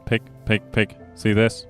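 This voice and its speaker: A man casually commenting on his gameplay as a streamer, chatting to his viewers and joking with the animals in the game. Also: fairly low pitch. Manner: chatty, jokey, talks to chat and game animals.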